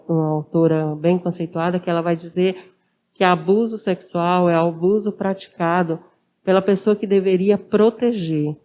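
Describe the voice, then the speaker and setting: Mellow, explanatory woman guest. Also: lowish voice. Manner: didactic, repeats and rephrases for emphasis. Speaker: interview guest, social worker. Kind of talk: explanatory interview talk.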